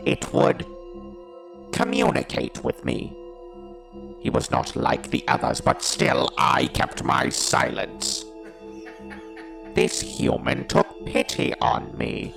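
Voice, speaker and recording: Hateful alien war machine, audio drama: extremely gravelly, slow and brooding, with big menacing swings. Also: male voice, mid-range voice, heavily acted. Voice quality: gravelly, harsh, mechanical monster voice. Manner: slow, deliberate, ominous monologue.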